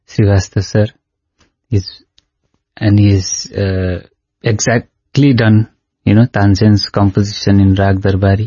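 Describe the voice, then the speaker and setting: A man whose first language is Nepali, a devotional singer telling stories in an unhurried way, pausing often. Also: fairly low pitch, warm tone, slightly rough, no room sound, dull audio. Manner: unhurried storyteller, pausing with "uh" and light laughs. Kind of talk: reminiscing in conversation.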